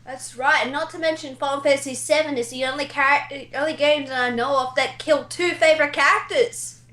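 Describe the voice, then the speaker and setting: High, bright woman in casual conversation. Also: steady tone, fairly quick. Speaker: guest, amateur voice actor. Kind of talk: casual chat about video games.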